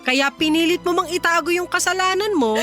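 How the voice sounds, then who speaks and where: A woman's voice: extremely high pitch, fairly quick, bright, forceful tone, soft background music. A woman playing a wealthy, betrayed employer in a radio drama, stern, bitter and reproachful toward someone distrusted. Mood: cold reproach. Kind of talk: radio drama acting in Tagalog.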